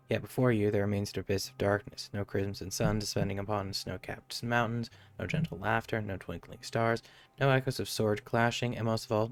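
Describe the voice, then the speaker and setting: A man's voice: on the low side, vocal fry. A man streaming a game, giving offhand commentary and reading text aloud, sometimes stumbling over it.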